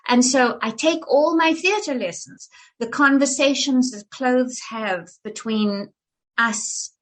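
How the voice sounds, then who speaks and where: An older woman from South Africa, with a polished, English-sounding South African accent, talking animatedly as a guest in an interview, theatrical and full of restarts. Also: high voice. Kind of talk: conversational interview.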